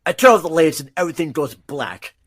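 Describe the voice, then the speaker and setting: Casting: male actor as scheming blackmailer and narrator, slightly high voice, deliberate and dramatic, music underneath. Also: expressive, natural voice. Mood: darkly comic. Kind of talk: audio drama acting and scene narration. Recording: music bed under dialogue.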